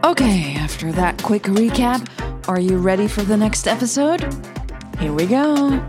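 A woman with a husky, breathy voice hosting and narrating audio fiction in a measured way, with pauses. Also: mid-range voice, lively intonation, over music. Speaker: podcast host and storyteller.

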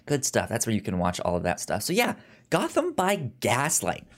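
A man hosting a podcast in casual chat, with a halting delivery full of stammered restarts. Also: medium pitch, wildly swooping pitch. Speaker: podcast host. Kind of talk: casual chat about comics and film.

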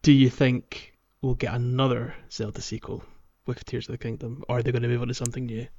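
A man hosting a casual podcast discussion, repeating words haltingly as he thinks, on a slightly dull recording. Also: medium pitch, level tone, a little mellow. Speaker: podcast host.

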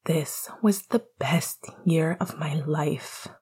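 A woman voicing a tender, teasing young man in love in a breathy, hushed near whisper, for a story reading. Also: sits low, takes it slowly, heavily acted. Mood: loving whisper.

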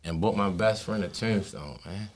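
A man chatting casually in an animated way, full of slang. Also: deep voice, normal speed. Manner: animated slang-heavy talk. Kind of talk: casual chat.

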